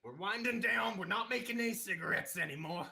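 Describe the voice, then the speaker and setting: A man playing a gruff older father who runs a cigarette business, coughing and blustering. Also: high-pitched, fairly quick, over a noisy crowd.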